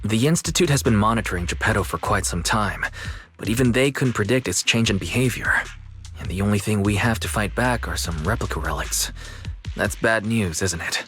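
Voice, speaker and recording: A man's voice: fairly low pitch, brisk, a bit bright, faint music behind, natural voice. A man voicing a sober, concerned teammate in scripted video game story dialogue.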